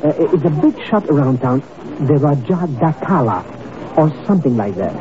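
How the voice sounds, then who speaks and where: Shifty comic sidekick waiter, high swooping voice, flustered slangy patter, old radio drama, muffled sound. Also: male voice, sing-song, normal speed. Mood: confiding. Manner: flustered, stammering, slangy, obsequious. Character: excitable, shifty male petty schemer working as waiter. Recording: muffled, noisy old radio broadcast recording.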